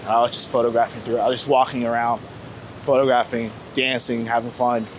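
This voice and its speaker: A man's voice: medium pitch, little pitch movement, fast. Young man chatting over faint street noise. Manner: rambling, slangy, reflective. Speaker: street photographer being interviewed. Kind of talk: casual walking chat. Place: outdoors on a New York City street. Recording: outdoor city street noise. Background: faint traffic and urban ambience.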